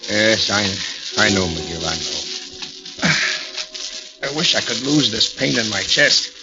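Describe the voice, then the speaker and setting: Weary, troubled American prison warden. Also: male voice, fairly low pitch, sing-song, unhurried. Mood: weary, pained. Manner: weary, sighing. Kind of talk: 1940s radio acting. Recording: dull 1940s radio recording, loud music behind.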